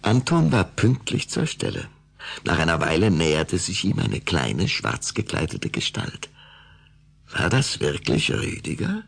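Deep, gravelly male storyteller. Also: measured pace. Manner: expressive storytelling. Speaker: narrator. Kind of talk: scripted children's audio story narration.

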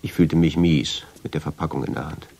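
An actor playing a brooding, guilt-ridden man who tells his own story in a measured, literary way in a radio drama. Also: rumbling low, level tone, dark tone. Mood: uneasy, tense. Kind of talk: scripted first-person radio drama narration.

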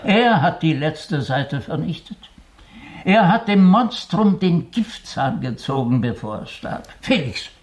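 A man's voice: fairly high pitch, very animated, slow. An actor playing a learned man in a German radio mystery set in 1914 Vienna, musing and lecturing with emphasis. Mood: agitated. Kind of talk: German radio play acting. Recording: light background noise.

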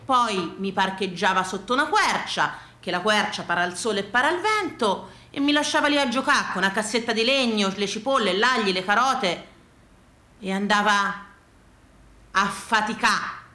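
A woman with a Tuscan accent performing an autobiographical theatre monologue in an expressive, colloquial storytelling manner, in a roomy echoing sound. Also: medium pitch, moderate pace. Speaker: actress and storyteller.

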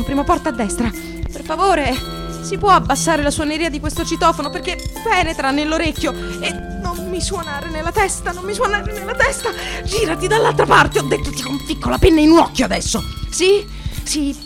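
A high-voiced female receptionist at the end of her tether in a radio drama monologue. Her delivery is theatrical and very animated, veering between clipped courtesy and bitter resentment. Faint music sits behind the voice. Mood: frantic, shouting. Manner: volatile, swinging from clipped politeness to bitter muttering and screaming. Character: frazzled, resentful female receptionist in precarious work, near breakdown. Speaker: actress in a radio play.

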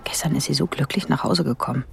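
An actress playing a wry young German daughter stuck doing housework, confiding and dryly sardonic, in a radio drama. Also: female voice, low voice, fast talker, creaky, music in the background. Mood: wry, resentful. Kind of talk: radio drama acting with inner monologue.

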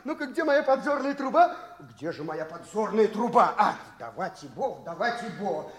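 An actor playing an absent-minded, eccentric scientist in a 1960s radio play, cheerful and excitable, exclaiming, repeating phrases and humming to himself. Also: male voice, normal speed, crowd noise. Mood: muttering, surprised. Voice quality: high-pitched, piping voice.

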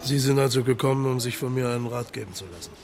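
An actor playing a calm police marshal in a radio crime drama, questioning in a measured, probing, placating way. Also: male voice, mid-range voice, lightly acted. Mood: formal, reserved. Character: patient, calm, reassuring Italian police marshal.

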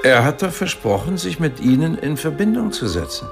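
An actor playing an erudite philosophy professor in a radio drama, donnish, deliberate and wryly amused. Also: male voice, fairly high pitch, big pitch swings, vocal fry, music in the background. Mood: fascinated. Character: erudite, fascinated male Cambridge philosophy professor.

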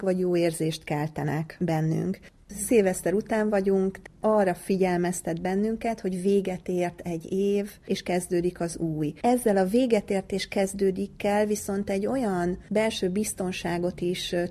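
A woman with a soft-edged, dark timbre and a slightly low pitch gives an expert explanation. She speaks reflectively, in long unscripted sentences, as in a radio interview. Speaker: psychologist.

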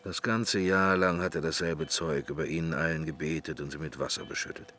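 A man's voice: very deep voice, fairly even intonation, fairly quick, fairly bright tone, slight background noise. An actor playing an irreverent man muttering sardonic private thoughts in a radio drama. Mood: sardonic musing. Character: irreverent male mourner musing privately at a funeral. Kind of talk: radio drama interior monologue.

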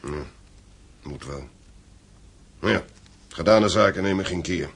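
An actor playing a middle-aged gentleman in a radio play, an anxious, gruff father with a weary, urgent manner. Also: low-pitched, a little slow, heavily acted. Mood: weary regret.

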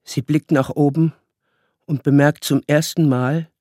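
A man narrating a radio play as storyteller of a child's magical world, with measured, dramatic phrasing. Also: a little high, fairly even intonation, a little mellow, no room sound, clean studio sound. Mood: storytelling.

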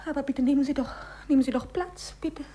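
A woman's voice: high-pitched, fairly even intonation, fairly quick, dark tone, natural voice. A woman acting in a 1950s German radio play as a naive, sheltered young lady, breathless and hesitant. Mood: bewildered, shy. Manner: breathless, hesitant, repeating herself.

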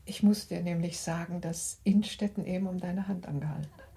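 Mellow-voiced, genteel mother. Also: on the low side, fairly even intonation, vocal fry. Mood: earnest, urging. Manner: deliberate, earnest. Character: genteel, purposeful mother of a teenage daughter. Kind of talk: German literary radio play acting.

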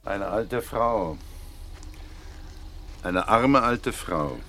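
An actor playing a weary, sceptical police inspector, terse and sober, in a German radio crime drama. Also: male voice, on the low side, lively intonation, very slow, pushed and bright, slight background noise, full character voice. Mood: pitying.

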